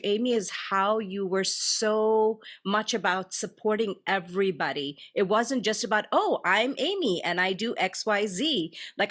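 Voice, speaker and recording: Host lady gushing thanks to a guest, kinda low voice, drags words out. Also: fairly bright tone. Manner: effusive, gushing, drawn-out emphasis. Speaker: podcast host. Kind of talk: casual chat.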